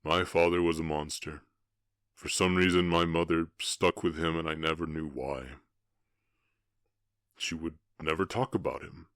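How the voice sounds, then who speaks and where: Half-orc cowboy, male actor: rock-bottom pitch, close dry sound, tender and ashamed, faltering into murmurs, ASMR drama. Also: steady tone, fairly bright tone, no room sound, exaggerated voice. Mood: pained, confessional. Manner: halting, emotional, often dropping to breathy murmurs. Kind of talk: ASMR roleplay voice acting.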